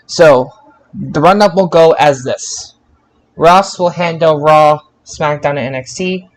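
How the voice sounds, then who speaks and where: A man hosting a podcast roundup, halting and often restarting his phrases. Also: on the high side, low-quality recording. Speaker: podcast host.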